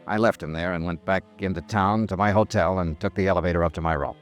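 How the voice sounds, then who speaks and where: An American actor playing a cool, accusing insurance investigator in a 1950s radio drama, with a clipped, deadpan delivery. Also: male voice, sits low, fast, lightly acted. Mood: terse narrating. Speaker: lead actor and narrator. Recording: vintage radio drama, dry, music behind.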